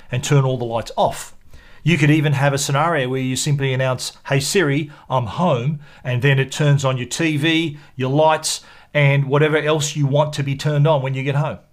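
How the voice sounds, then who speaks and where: A man presenting a product review in a measured, explanatory way. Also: medium pitch. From Australia. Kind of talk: product review narration to camera.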